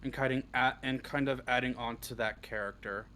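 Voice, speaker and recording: A man with a mid-pitched voice chats casually as an interview guest, pausing to think his way through answers. There is clear noise behind him on the recording. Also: steady tone, pushed and bright. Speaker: interview guest, audio roleplay script writer. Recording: clearly noisy background.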